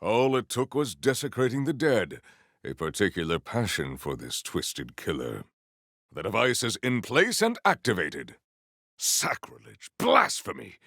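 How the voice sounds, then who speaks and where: An actor voicing a proud, honourable video game warrior who speaks in a formal, archaic, solemn and theatrical way. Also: male voice, fairly low pitch, wildly swooping pitch, unhurried, gravelly, a bit bright, no echo, studio quality, full character voice. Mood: brooding, grim. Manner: formal, archaic, grandiose phrasing.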